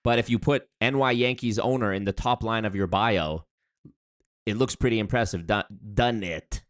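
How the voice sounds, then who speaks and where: A man's voice: on the low side, normal speed, dry recording. A man giving casual live-stream commentary on a video, wry and chatty, with restarts and asides.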